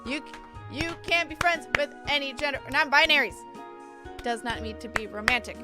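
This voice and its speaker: Woman streamer, higher-pitched, irreverent, expressive, casual commentary and text reading, clear game music behind. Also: normal speed. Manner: expressive, irreverent, reacts bluntly.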